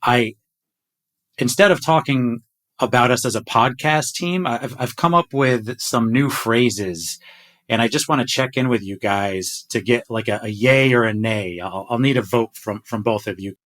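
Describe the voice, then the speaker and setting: A man's voice: medium pitch, moderate pace, fairly bright tone. A man hosting a podcast, reading a scripted intro and then chatting eagerly with his team, often tripping over his words. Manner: enthusiastic, self-deprecating, stumbles on words. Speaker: podcast host. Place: home closet.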